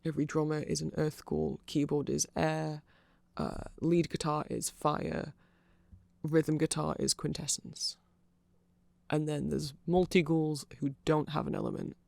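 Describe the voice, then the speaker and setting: Rambling man with a very creaky, gravelly voice. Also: fairly high pitch, no echo. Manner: rambling, nerdy, self-correcting, checks in with "right?". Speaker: ASMR creator. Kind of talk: rambling ASMR explainer.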